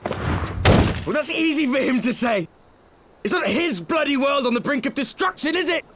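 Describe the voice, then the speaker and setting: A man's voice: extremely high pitch, lively intonation, moderate pace, background noise. A man playing a bitter, sardonic villain in a fantasy game, with a needling, clipped delivery. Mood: indignant, bitter. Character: bitter, sardonic male villain plotting for his world. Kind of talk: dramatic fantasy game dialogue.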